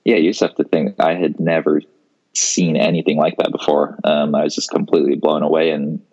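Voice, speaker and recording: A man's voice: rumbling low, flat delivery, fairly quick, a little mellow, dull audio. A man in his twenties from Seattle, a guest on a podcast, talking in a laid-back way with many fillers and restarts. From Seattle, Washington, US. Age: 20s. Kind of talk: casual interview chat.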